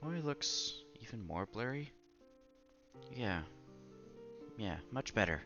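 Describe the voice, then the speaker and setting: A man's voice: on the low side, expressive, takes it slowly, dull audio, over music. A man doing a casual let's-play, reading a visual novel aloud with asides and stumbling over words as he goes.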